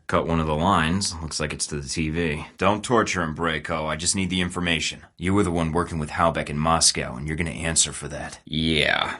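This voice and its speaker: A cocky young secret agent with a very low, deep voice, speaking in dry, clipped lines full of sarcasm. He sounds unbothered and sure of himself, as in a story-driven spy game. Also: bright, forceful tone. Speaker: game character.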